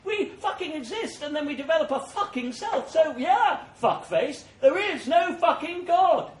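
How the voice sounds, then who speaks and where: A man's voice: very high voice, animated, a little slow, pitched above the performer's own voice. A man voicing a foul-mouthed, philosophizing male corpse with a sneering, ranting manner. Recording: roomy echo.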